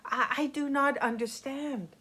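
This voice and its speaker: Woman reading as a formal, proud nobleman father, unhurried. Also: sits high, fairly even intonation, sharp tone, pitched above the performer's own voice. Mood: puzzled. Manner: formal, emphatic. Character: proud nobleman father, protective, humbled and apologetic.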